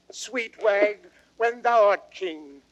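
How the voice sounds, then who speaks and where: A high-pitched, theatrical fat old rogue. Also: male voice, lo-fi sound. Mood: amused, teasing. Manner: theatrical, drawn-out exclamations with chuckles. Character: fat old rogue knight, boozy, jovial thief. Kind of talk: old radio-style Shakespearean acting.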